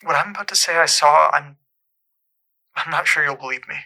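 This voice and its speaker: An actor playing a troubled caller in an audio drama, haltingly and earnestly recounting an eerie supernatural memory. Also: male voice, fairly high pitch, vocal fry, pushed and bright, exaggerated voice. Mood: uneasy, haunted.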